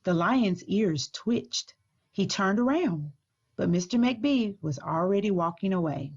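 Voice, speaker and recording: A woman school librarian reading aloud and giving instructions to children in a deliberate, teacherly way. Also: mid-range voice, lively intonation, darkish tone, husky, muffled recording. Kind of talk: storybook read-aloud and activity instructions.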